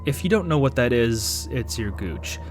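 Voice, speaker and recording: A man's voice: medium pitch, very animated, normal speed, soft background music. Wry young man narrating a video essay. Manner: wry, sarcastic asides. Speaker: YouTube commentator.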